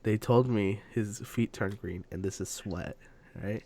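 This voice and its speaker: A man chatting casually as a podcast co-host, halting and often repeating himself. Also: on the low side, fairly even intonation, a crowd in the background.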